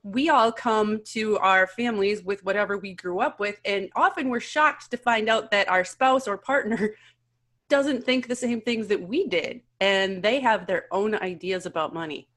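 A woman co-hosting a podcast who speaks at an average pitch. She asks rambling, thoughtful questions in an easy, conversational way. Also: normal speed, fairly bright tone. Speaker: podcast co-host. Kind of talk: podcast interview.

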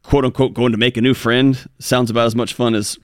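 A man's voice: medium pitch, steady tone, fairly quick, dry recording, studio quality. A man co-hosting a talk show, speaking earnestly in casual conversation with frequent restarts. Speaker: co-host.

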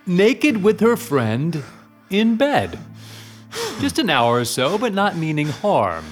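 A manipulative male schemer in a Shakespearean audio drama. He goes slowly and insinuatingly, with lively, teasing swoops of pitch, over light music. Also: full character voice. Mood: sly, goading.

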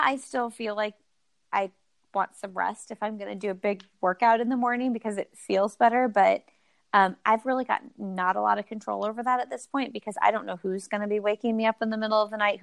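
A woman's voice: mid-range voice, creaky, dry recording. A woman in her thirties, a guest on a podcast, talking casually and laughing often about her own running. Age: 30s. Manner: chatty, laughs often, self-deprecating. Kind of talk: casual interview chat.

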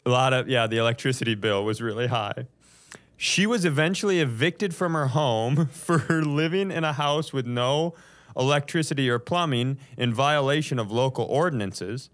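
A man's voice: medium pitch, animated, moderate pace. A man hosting a comedy podcast, telling a story from notes in a casual, profane way and often chuckling as he goes.

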